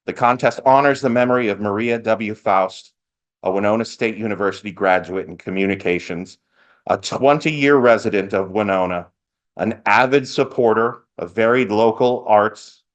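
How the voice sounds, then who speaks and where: A man's voice: fairly low pitch, moderate pace. A man speaking as host of a live event, giving a part-scripted welcome speech with lightly joking asides. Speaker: event host, master of ceremonies.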